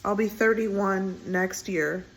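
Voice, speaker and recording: Soft-spoken rambling woman in her thirties. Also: mid-range voice, steady tone, slow pace, low-quality recording. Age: 30s. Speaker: vlogger. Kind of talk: casual personal vlog. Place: indoors at home, window closed.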